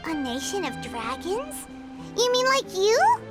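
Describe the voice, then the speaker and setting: A tiny floating fairy sidekick from a video game, chattering in a very high, squeaky child's voice. The delivery is excitable and swings widely up and down. Music plays prominently behind the voice. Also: female voice, measured pace, heavily acted. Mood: puzzled. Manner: expressive, refers to self by name. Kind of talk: English-dub video game voice acting. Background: background music.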